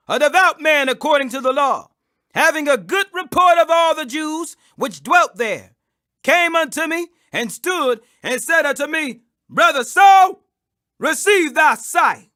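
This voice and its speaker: A man's voice: very high voice, expressive, a little slow, pushed and bright. A man reading scripture aloud in a dramatic, declamatory preacher-like style, stressing and drawing out phrases. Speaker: scripture reader.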